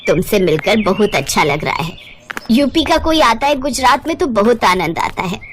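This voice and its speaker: A woman's voice: a little high, very animated, moderate pace, slight background noise. Woman voicing a kindly, chatty old auntie. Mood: friendly, chatty. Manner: affectionate, reassuring, talkative. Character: kindly elderly Gujarati woman, chatty cooking teacher. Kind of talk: animated storybook character voice.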